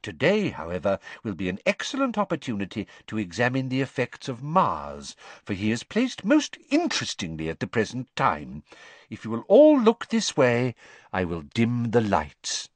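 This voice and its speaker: A man voicing an excitable, theatrical woman professor of divination in a storybook, with a breathless, portentous manner. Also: medium pitch, moderate pace, dry recording. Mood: dreamy, grand. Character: dramatic middle-aged woman divination professor, mystical, excitable.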